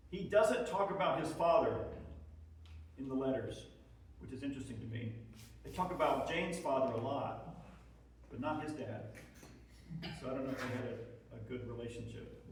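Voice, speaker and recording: A man presents an informal history talk with a mid-pitched voice. He explains things reflectively, as in a discussion with listeners. The room is very echoey and he is picked up from a distance. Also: moderate pace, long pauses. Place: indoor hall with an audience. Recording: very echoey room, distant mic, faint noise.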